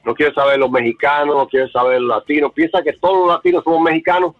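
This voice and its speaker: A man's voice: a little high, steady tone, quick pace, no room sound, phone-quality sound. A man from the Dominican Republic, raised in Puerto Rico, speaking earnestly as an interviewee and often repeating words while he searches for them. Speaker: interviewee, bar owner. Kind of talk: remote interview.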